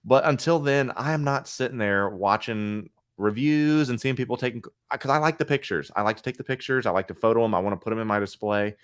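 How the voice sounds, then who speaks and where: A man's voice: mid-range voice, fairly quick, few pauses, smooth, dry recording, studio quality. A man in his thirties talking casually and candidly as a co-host on a collectors' stream, often restarting his sentences. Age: 30s. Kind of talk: casual podcast chat.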